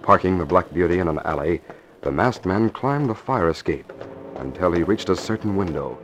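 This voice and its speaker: Male narrator for vintage radio serial: very low voice, music underneath. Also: lively intonation, moderate pace. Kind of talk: scripted 1940s radio drama narration. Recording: dull vintage 1940s radio recording.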